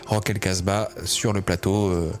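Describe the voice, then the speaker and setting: A man's voice: sits low, flat delivery, a little slow. A man hosting a music radio show, chatting casually with many fillers and restarts.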